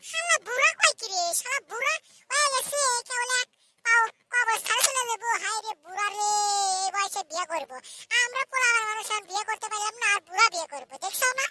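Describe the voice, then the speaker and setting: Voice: extremely high pitch, expressive, quick pace. A performer voicing a cheeky young village lad in a comic cartoon, with a childlike voice, teasing and chatty. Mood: mocking. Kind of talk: comic Bangla cartoon dialogue. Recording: noisy recording with clicks.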